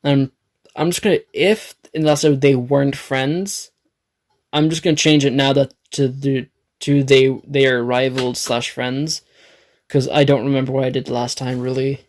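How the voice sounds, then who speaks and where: A man with a raspy, dry throat, narrating an improvised fan story, rambling and correcting himself. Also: mid-range voice, little pitch movement, normal speed. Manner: rambling, self-correcting, swears.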